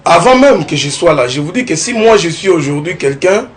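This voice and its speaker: A man's voice: a little high, lively intonation, fairly quick, a little hiss or hum. Young Congolese man, earnest talk. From Congo central, DR Congo. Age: young adult. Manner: earnest and talkative, often adds "n'est-ce pas". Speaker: political candidate, interview guest. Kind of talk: TV interview.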